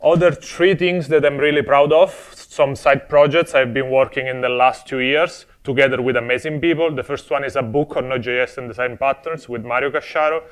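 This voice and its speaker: A man's voice: mid-range voice, fairly even intonation, fairly quick, hardly any pauses. A young man from Italy with an Italian accent, giving a friendly, explanatory conference talk on a technical topic with touches of humor. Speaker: conference speaker. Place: conference stage before an audience.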